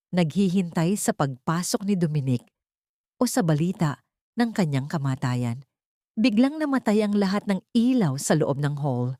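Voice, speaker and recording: A woman narrating a scripted audio story in a deliberate, suspenseful, theatrical way. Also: low-pitched, dark tone, dry recording, clean studio sound.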